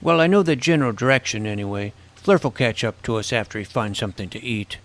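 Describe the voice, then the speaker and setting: A man's voice: medium pitch, quick pace, a bit bright, close to an everyday voice. A man playing a naive, self-doubting, geeky boy who narrates his fantasy adventure in a wry, youthful, earnest way. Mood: hopeful. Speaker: narrator and lead character. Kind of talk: first-person scripted fantasy narration and dialogue. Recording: music behind the voice.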